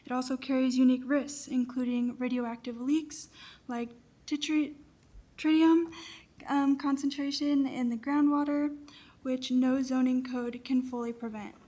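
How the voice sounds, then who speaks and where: A woman's voice: sits high, fairly even intonation, a little slow, warm tone. A young woman from Iowa giving an earnest prepared public comment at a county meeting. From Des Moines, Iowa. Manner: earnest, reads from notes.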